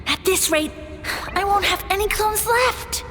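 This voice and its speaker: A woman's voice: very high voice, measured pace, a bit bright, in a large hall, soft background music. A woman voicing a small, pompous male mushroom lord in a video game, grandiose and aggrieved, with a theatrical, imperious delivery. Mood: aggrieved, scheming. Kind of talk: video game character acting.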